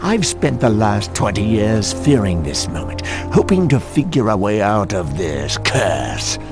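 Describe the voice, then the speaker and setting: An actor playing a scheming, gloating undead villain in a video game, mocking and dramatic in manner. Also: male voice, on the low side, very animated, unhurried, over music. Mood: brooding. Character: scheming, gloating undead villain, betrayer of his old friend.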